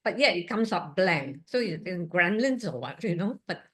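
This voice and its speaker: Low-voiced woman from Singapore in her 60s. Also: expressive, normal speed, fairly bright tone, husky. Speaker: guest speaker, surgeon. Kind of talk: personal talk at a museum event. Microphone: video call.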